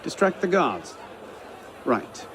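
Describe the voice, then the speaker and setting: A man's voice: a little high, big pitch swings, slow pace, background noise. A man playing a dry, determined young assassin in a video game set in revolutionary France. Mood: dry, purposeful. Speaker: lead character. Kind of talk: cinematic video game acting. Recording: music and busy street crowd behind.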